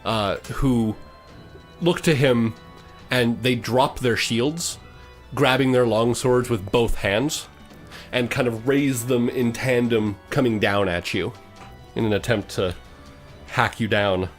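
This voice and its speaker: A man's voice: mid-range voice, takes it slowly. A man acting as game master, narrating combat in a tabletop game in a matter-of-fact, slightly hesitant way. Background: music.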